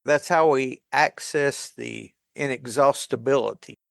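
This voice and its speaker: A man co-hosting a recovery podcast, calm and wry, questioning himself aloud. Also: medium pitch, measured pace, vocal fry, dry recording, clean studio sound. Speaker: podcast co-host. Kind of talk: reflective recovery group talk.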